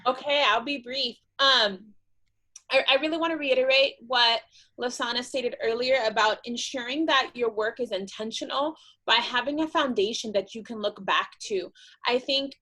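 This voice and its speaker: A woman's voice: fairly high pitch, moderate pace, pushed and bright. A woman from East Los Angeles speaking sincerely and reflectively as a panelist on a video call. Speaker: webinar panelist. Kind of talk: earnest panel remarks.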